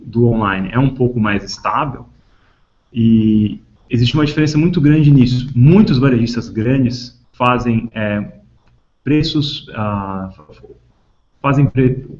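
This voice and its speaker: A man's voice: medium pitch, takes it slowly, a little mellow. Brazilian man on a dull conference call. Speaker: panelist answering questions. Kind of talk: explanatory business talk on a conference call.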